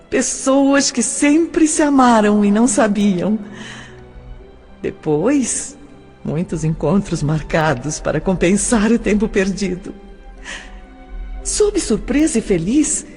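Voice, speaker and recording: An actress playing an emotive young woman recalling love and betrayal in a dramatised audio novel, with measured, theatrical delivery. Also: medium pitch, darkish tone. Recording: long reverb, music behind.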